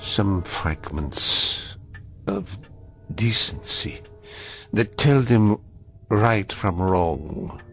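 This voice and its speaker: An older actor playing an old man who calmly and gravely defies his would-be killer, in a vintage television drama. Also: lowish voice, exaggerated voice. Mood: sorrowful. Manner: measured, grave, rising to bursts. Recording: vintage broadcast soundtrack with music.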